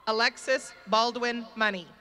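A woman announcer reading out graduates' names one at a time in a formal, measured ceremonial style. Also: a little high, steady tone, bright, forceful tone. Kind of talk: ceremonial roll call of names. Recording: noisy live event, clear crowd din. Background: audience noise.

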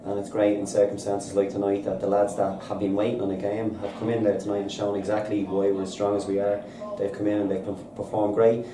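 Irish football manager talking quick after a match, deep flat voice, a bit far off the mic in an echoey room. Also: male voice, crowd noise. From Ireland. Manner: upbeat, fast and repetitive, says "listen" a lot. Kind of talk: post-match interview. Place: bare indoor room at a stadium. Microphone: reporter's handheld recorder held out.

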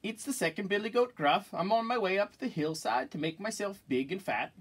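A man's voice: high voice, expressive, a little fast, breathy edge, pitched above the performer's own voice, heavily acted. A man voicing a cautious, medium-sized billy goat in a storybook, his voice raised but not tiny. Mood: polite.

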